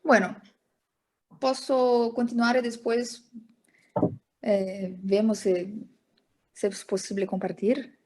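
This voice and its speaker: Woman, slow lecture, hesitant, video call. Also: medium pitch, fairly even intonation, dark tone. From Brazil. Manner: slow, hesitant, many fillers. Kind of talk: academic presentation.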